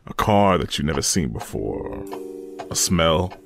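A man's voice: extremely low pitch, sing-song, unhurried, vocal fry, music in the background, exaggerated voice. A man playing a grave, persistent investigator in an audio drama. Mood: grave, probing.

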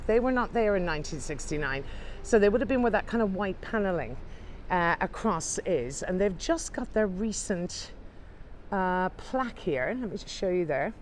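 A woman's voice: mid-range voice, slight background noise. A woman leads a walking tour outdoors, talking chattily and upbeat to viewers she calls ladies and gents. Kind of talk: walking tour narration to camera. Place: outdoors on city streets.

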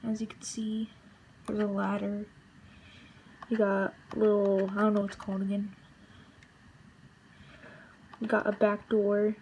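A young woman casually narrating a walkthrough of a game build she made, halting and relaxed, with fillers. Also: medium pitch, a little hiss or hum, rough recording. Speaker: channel host.

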